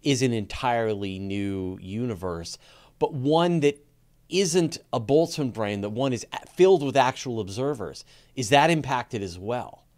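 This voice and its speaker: A man hosting a conversational science interview, thinking aloud in a halting way as he frames his questions. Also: medium pitch, expressive, darkish tone. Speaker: podcast host, interviewer.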